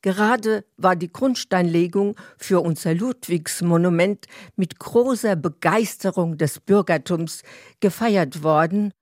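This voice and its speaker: Slow, deliberate woman narrator, dry close sound. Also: lowish voice, no room sound. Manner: measured, deliberate. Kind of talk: scripted storytelling narration.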